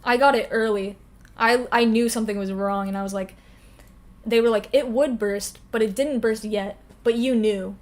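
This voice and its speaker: A woman's voice: on the high side, steady tone, moderate pace. A young woman telling a story bluntly in casual chat with friends, leaning on "and then I was like". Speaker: podcast co-host.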